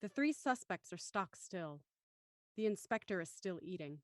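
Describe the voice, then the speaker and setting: A woman reading scripted narration for a radio mystery in a deliberate, dramatic way. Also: lowish voice, animated, no echo, studio quality.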